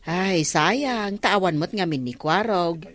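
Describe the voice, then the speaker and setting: A woman's voice: lowish voice, slow pace, a crowd in the background. An actress playing a village woman in a radio comedy, bringing worried news to the captain. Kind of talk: radio comedy drama acting.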